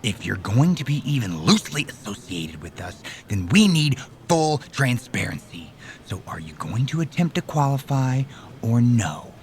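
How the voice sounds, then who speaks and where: An actor playing a confident, scheming veteran wrestler in a video game cutscene, persuasive and conspiratorial, sometimes dropping to a near whisper. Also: male voice, mid-range voice, very animated, normal speed, a little mellow, slight background noise, close to an everyday voice. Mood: demanding.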